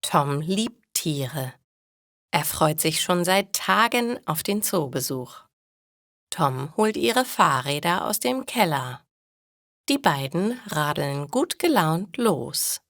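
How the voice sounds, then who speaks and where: Slow, expressive woman storyteller. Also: low voice, no echo, clean studio sound. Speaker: storyteller for German learners. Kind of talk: scripted story narration for language learners.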